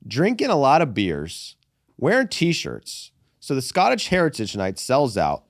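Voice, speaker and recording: Lively, profane podcast host. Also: male voice, mid-range voice, measured pace, no room sound, studio quality. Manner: animated, profane, says "brother" and "dude". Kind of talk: casual sports chat.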